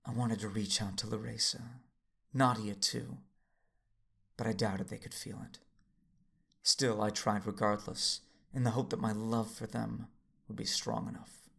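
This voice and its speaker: An actor playing a battle-weary warrior father who narrates his own duel in an audio drama, grave and intense, breathy in places. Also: lowish voice, level tone, unhurried, a bit bright, exaggerated voice. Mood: resigned, breathy. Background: music.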